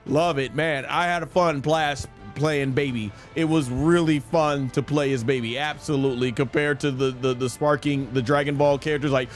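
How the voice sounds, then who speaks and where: A man's voice: mid-range voice, steady tone, normal speed, hardly any pauses, soft background music. A man hosting a gaming video, chatting casually and enthusiastically with lots of fillers. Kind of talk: casual gameplay commentary.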